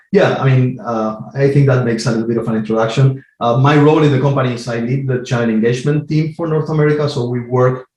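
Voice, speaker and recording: A man from Argentina, a guest in an interview, talking in a measured way with many pauses and repeated words while he thinks. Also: medium pitch, darkish tone. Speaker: guest, IT team lead. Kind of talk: casual interview talk. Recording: roomy echo.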